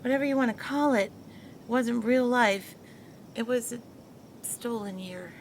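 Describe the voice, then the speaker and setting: A woman acts out a prim, married woman from the 1920s, proper and defensive, with a primly scolding manner. Her voice sits fairly high. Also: unhurried, slight background noise. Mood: exasperated. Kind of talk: 1920s period audio drama.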